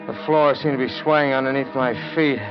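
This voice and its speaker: A man's voice: medium pitch, little pitch movement, brisk, lightly acted. An actor playing a cold-blooded, brooding reporter who narrates his own crime in terse 1940s radio drama style. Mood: tense, haunted. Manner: terse, clipped, hardboiled delivery. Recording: muffled old radio recording, loud music.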